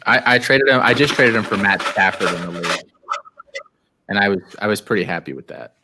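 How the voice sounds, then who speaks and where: A man's voice: fairly low pitch, a little fast, heavy background noise. A man in his late twenties co-hosting a casual fantasy football podcast, laid-back and full of fillers. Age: 20s. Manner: laid-back, lots of likes. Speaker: podcast co-host.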